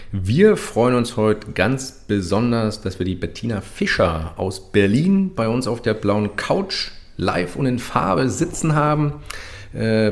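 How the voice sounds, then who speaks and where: A man hosting an interview show, upbeat and laughing often between quick questions. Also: on the low side. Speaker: talk show host.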